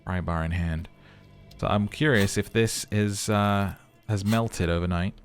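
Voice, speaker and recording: A man's voice: lowish voice, steady tone, unhurried, soft background music. A man reading game text aloud and chatting through a let's play, musing as he goes. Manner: chatty, musing aloud between narrated passages. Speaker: gaming commentator.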